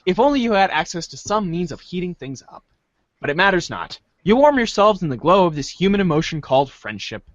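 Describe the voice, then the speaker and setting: A man narrates and hosts an amateur readers' theater with a lively, swinging pitch. The sound is dry and a little muffled. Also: brisk, dry recording. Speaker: host and narrator.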